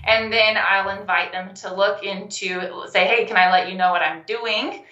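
A young adult woman, a health coach and guest, telling her story in a casual interview, animated and laughing often. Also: medium pitch, moderate pace, roomy sound, lo-fi sound. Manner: animated, laughs often, acts out quoted phone calls.

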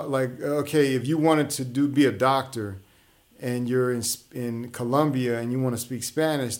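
A man hosting his own show, talking through ideas in a casual monologue with frequent restarts and fillers. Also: medium pitch. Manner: conversational, explanatory, many restarts and fillers. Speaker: show host.

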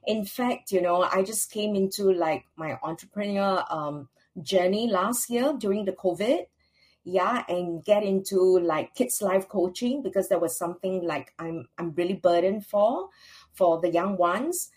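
A woman's voice: mid-range voice, unhurried, breathy edge. A woman guest on a podcast, a former children's pastor, answering in a friendly, hesitant way with frequent "like.". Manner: warm, hesitant, says "like" often. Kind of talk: casual interview answers.

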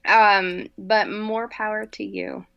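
A woman's voice: medium pitch, flat delivery, takes it slowly, gravelly, smooth, dull audio. Dry-witted woman co-hosting a podcast. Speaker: podcast co-host. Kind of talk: casual podcast chat.

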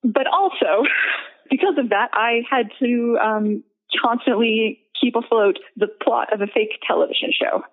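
A woman's voice: a little high, measured pace. A woman chatting casually as an interview guest, self-deprecating and giggly, heard over a remote call line. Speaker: guest, audio drama writer and producer. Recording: tinny narrow phone-like line.

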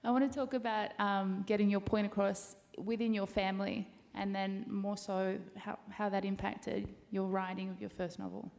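A woman's voice: mid-range voice, flat delivery, normal speed, creaky, hardly any pauses, darkish tone. Woman moderator, easygoing and chatty, asks questions. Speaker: panel moderator.

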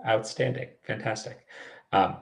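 A man's voice: on the low side, fairly even intonation, measured pace. A man hosting a conversational online interview, chatty and encouraging as he puts questions to his guest. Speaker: interviewer and host of a test-prep show.

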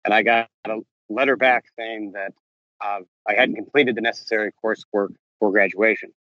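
A man's voice: fairly low pitch, steady tone, a bit bright, dry recording, dull audio. A man answering interview questions as a novelist, often stopping and restarting his sentences as he paces around outdoors. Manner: halting, frequent restarts and self-corrections. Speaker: novelist guest. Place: walking around outdoors on a campus.

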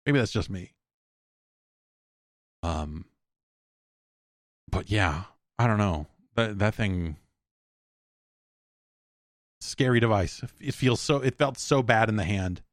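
An American man hosting a podcast alone in a casual, rambling, halting way, thinking aloud and speaking bluntly. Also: fairly low pitch, no echo, studio quality. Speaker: podcast host. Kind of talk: casual solo podcast talk, reading listener emails.

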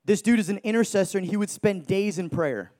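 A man in his thirties preaching a sermon with energy, joking with the crowd and shouting for emphasis. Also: sits high, brisk. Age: 30s.

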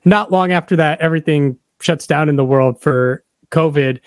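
A man with a slightly high voice hosts an interview. He asks his questions conversationally, and the sound is close and dry. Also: moderate pace, no echo. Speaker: podcast host, interviewer.

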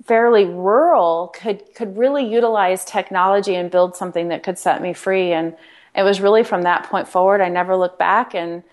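Middle-aged woman from Nebraska, podcast guest, earnest and animated. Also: mid-range voice, moderate pace. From Nebraska, United States. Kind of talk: conversational interview.

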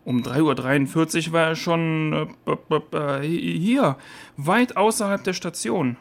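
Attentive, higher-voiced young investigator. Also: male voice, measured pace, creaky. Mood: attentive, puzzled. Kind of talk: children's audio drama acting.

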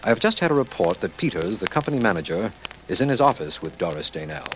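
Animated district attorney in a radio mystery. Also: male voice, fairly low pitch, fast, noisy background. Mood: measured narration. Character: district attorney and narrator of a detective mystery, earnest. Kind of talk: 1940s-50s radio drama acting.

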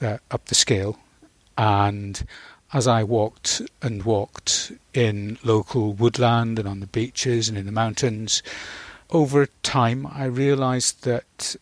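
A man in his sixties from Northern Ireland answers as an interview guest. His voice sits at an average pitch and is recorded very dry. He speaks reflectively, often stopping to find the right word. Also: no room sound.

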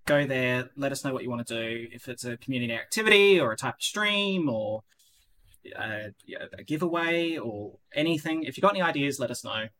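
A man's voice: mid-range voice, fairly quick, sharp tone, a little hiss or hum. A man hosting a casual online community podcast, rambling with lots of fillers and restarts. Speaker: podcast host.

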